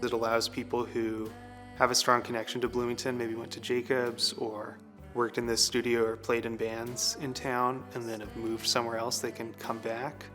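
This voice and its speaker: A man's voice: mid-range voice, completely flat delivery, normal speed, a bit bright, faint music behind. A man explaining things in a matter-of-fact way during a casual documentary interview.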